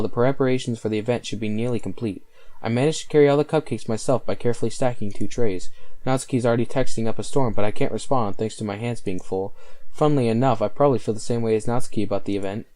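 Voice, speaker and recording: A man's voice: medium pitch, warm tone. A man reading visual novel text aloud as he hosts a playthrough. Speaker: let's play host.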